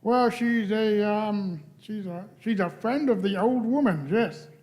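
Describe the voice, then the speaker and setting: A man plays an eager young adventurer in a sci-fi radio play. His voice is high for a man, earnest and a bit flustered, tripping over hesitations. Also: measured pace, a little mellow. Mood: nervous bluffing. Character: earnest, flustered young male space-travelling companion. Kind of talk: live radio play reading.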